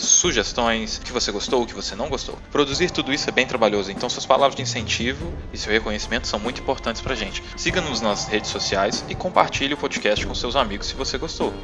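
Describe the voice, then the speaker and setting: A Brazilian man narrating a tabletop role-playing game as game master and podcast host, with music behind him. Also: medium pitch.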